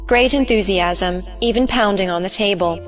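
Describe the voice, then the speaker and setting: A woman's voice: mid-range voice, normal speed, faint music behind. A woman narrator reading scripted stage directions in short, clipped phrases.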